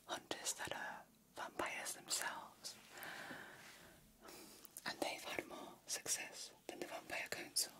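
A woman in her thirties playing a gossipy, catty vampire hairdresser in an ASMR roleplay, whispering in a breathy, confiding way close to the mic. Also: lightly acted. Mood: hushed, conspiratorial. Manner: slow, hushed, confiding. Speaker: ASMR performer. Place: small decorated room, indoors. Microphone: close ASMR microphone.

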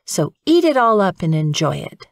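A woman voicing a calm, caring mother badger in a storybook, patient and reassuring. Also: on the low side, moderate pace, gravelly, no room sound, studio quality. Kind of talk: storybook character voice.

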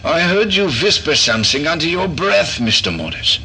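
A self-assured, eccentric doctor, his voice sharp and tense, his pitch moving a lot as he lays out his clipped, formal views. A faint hiss sits under the audio drama. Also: sing-song, moderate pace, heavily acted, poor audio. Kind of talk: audio drama log entry.

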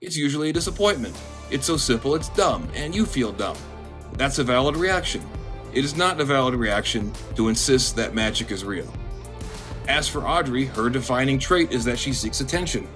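A man narrating a scripted video essay in a dry, monotone, deadpan way. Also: medium pitch, normal speed, faint music behind. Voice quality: monotone delivery. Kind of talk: scripted commentary.

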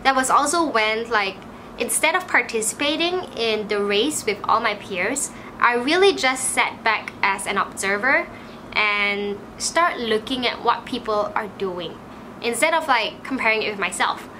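A young woman in her twenties speaks with a Malaysian English accent and a bright, clear tone. She tells a personal story in a relaxed, chatty way, sprinkling in "like" as she goes. A faint room hum sits behind her voice. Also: on the high side, measured pace, rough recording. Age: 20s. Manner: chatty, frequent "like" fillers, animated. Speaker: vlogger. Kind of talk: casual storytelling to camera. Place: small room indoors.

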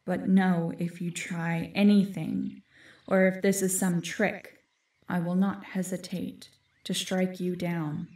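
Casting: actress for ancient female woodland guardian, fantasy audio drama, stern and formal, slow delivery, very reverberant distant sound. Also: on the low side, level tone, a little mellow. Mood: measured warning. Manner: formal, commanding, measured.

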